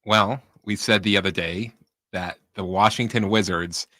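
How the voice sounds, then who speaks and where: A man's voice: on the low side, fairly even intonation, unhurried, sharp tone, dry recording. An American man co-hosting a sports talk show, casually reading out stats and chatting. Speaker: sports radio co-host.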